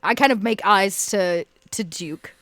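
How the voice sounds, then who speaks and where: A woman's voice: mid-range voice, a little slow, a bit bright, no echo. A woman playing a tabletop role-playing game, speaking in character with a teasing, flirtatious manner. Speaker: player.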